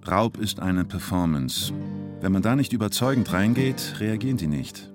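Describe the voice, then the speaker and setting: An actor as the narrator of a radio play about a robber, speaking in terse, deliberate, clipped phrases. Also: male voice, lowish voice, lively intonation, over music, close to an everyday voice. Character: male narrator telling a bank robber's story tersely. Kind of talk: radio play narration, short present-tense sentences.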